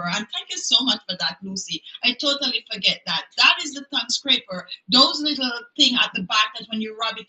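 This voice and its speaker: A woman's voice: on the high side, bright, forceful tone. A middle-aged woman with a Jamaican accent chatting casually as a livestream host, repeating words for emphasis as she answers viewers. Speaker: beauty tips host. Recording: echoey room.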